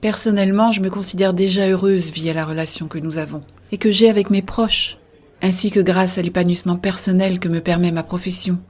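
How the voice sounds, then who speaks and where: A woman playing an earnest, idealistic young-hearted believer in a scripted science-fiction audio drama, with a husky, soft delivery. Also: on the low side, steady tone, fairly quick, natural voice. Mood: earnest, persuasive. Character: Béa, earnest idealistic woman defending a shared-consciousness network. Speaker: voice actor narrating in first person.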